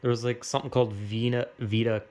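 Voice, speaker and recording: A man's voice: mid-range voice, flat delivery, fast, darkish tone. A young man with an American accent giving casual, rambling opinion commentary in slangy, profanity-laden speech. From United States.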